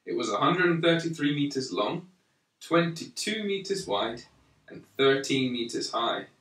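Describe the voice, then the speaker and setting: A man reading a children's story aloud in a measured, deliberate way, pausing between phrases. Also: fairly high pitch, lively intonation, a bit bright. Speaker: storyteller reading a Bible picture book to a young child. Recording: roomy, echoey, slightly distant microphone.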